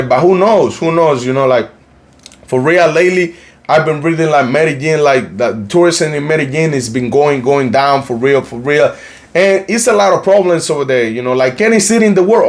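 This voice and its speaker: Young colombian guy, spanish accent, rambling vlog chat, lots of "you know like", voice a bit on the high side. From Cartagena, Colombia. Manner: rambling, heavy use of "you know, like", frequent restarts. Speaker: YouTube news channel host. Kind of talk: casual commentary vlog.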